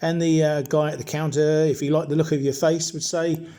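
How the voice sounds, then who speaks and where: A middle-aged or older man narrating a nostalgic retrospective in an informal, measured explaining way as a hobbyist presenter. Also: a little high, flat delivery, dark tone.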